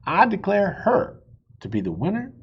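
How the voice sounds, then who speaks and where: Gruff, admiring storybook cowboy played by a male reader: folksy, gravelly, lively pitch, lo-fi recording. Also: moderate pace, heavily acted. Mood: admiring, declaring.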